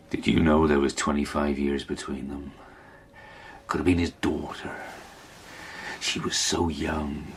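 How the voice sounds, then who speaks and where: A man's voice: extremely low pitch, background noise. An actor playing a volatile, rambling father talking to his young son at bedtime in a radio drama. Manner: rambling, murmuring, turning sharp.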